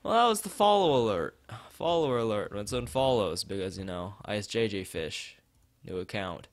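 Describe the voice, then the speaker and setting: Low-voiced man streaming a game. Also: expressive, moderate pace, dry recording. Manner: rambling, drifting asides to chat. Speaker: game streamer reading a visual novel aloud. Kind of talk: live stream commentary mixed with reading aloud. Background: background game music.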